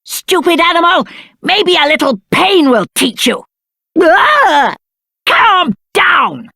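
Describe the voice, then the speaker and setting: Spoiled young game prince, British accent, high-pitched, very animated, haughty, petulant, aristocratic diction. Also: male voice, takes it slowly, creaky, no echo, exaggerated voice. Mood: furious, shrill. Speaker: video game voice actor. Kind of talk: theatrical video game character acting.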